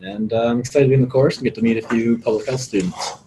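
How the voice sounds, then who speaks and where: A man's voice: medium pitch, steady tone, quick pace. A young man, a graduate student, casually introducing himself in a classroom. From Ontario, Canada. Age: young adult. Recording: faint background room noise.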